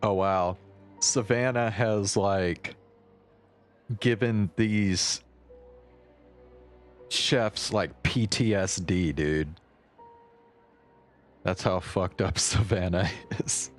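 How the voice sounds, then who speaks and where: Deep, slow-talking male game streamer. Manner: laid-back, slangy. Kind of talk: casual gameplay commentary. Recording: faint game music behind.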